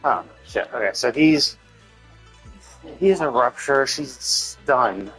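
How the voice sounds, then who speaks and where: A man's voice: mid-range voice, lively intonation, slow, fairly bright tone, soft background music, rough recording. A man commentating casually on a live game stream, thinking aloud in a hesitant, rambling way and repeating himself, with casual swearing.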